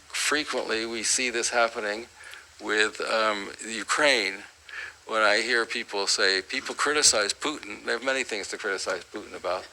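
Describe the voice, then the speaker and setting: Low, sharp-voiced man introducing a speaker. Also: slight background noise, rough recording. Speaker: host introducing a guest lecturer. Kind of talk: public academic introduction.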